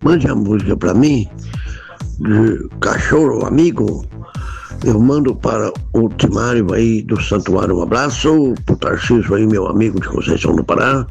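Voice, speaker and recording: A low, gravelly man with an Italian accent leaves a voice message for a radio show, polite and effusive with his greetings. Faint music sits underneath. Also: darkish tone. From Italy. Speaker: radio caller.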